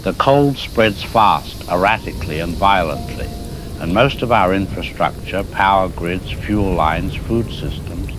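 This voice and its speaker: A man playing a blunt, grave climatologist as a radio interview guest, explaining in a deliberate, ominous, articulate way. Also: low-pitched, noisy background. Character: grave, blunt male climatologist warning of catastrophe.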